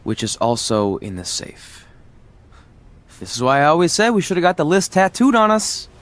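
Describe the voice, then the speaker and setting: Goofy easygoing young guy, lively intonation. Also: a little high, normal speed, no room sound. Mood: breezy, joking. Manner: joking, casual. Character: goofy, easygoing young man, wrestler sidekick. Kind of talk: scripted video game cutscene acting.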